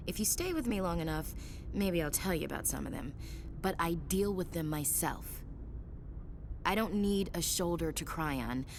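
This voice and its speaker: Low-voiced, proud young female soldier. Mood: defiant. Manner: blunt, sardonic. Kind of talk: video game dialogue acting. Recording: rumbling background noise.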